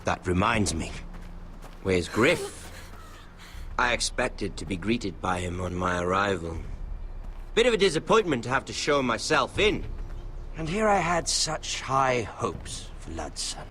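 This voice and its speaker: A man's voice: fairly low pitch, sing-song, a little slow, pushed and bright, a little hiss or hum, exaggerated voice. An actor playing a sadistic young nobleman villain in a video game drama, drawling with mock friendliness and theatrical menace. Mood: mock-friendly menace. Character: sadistic, mocking young nobleman villain who toys with victims.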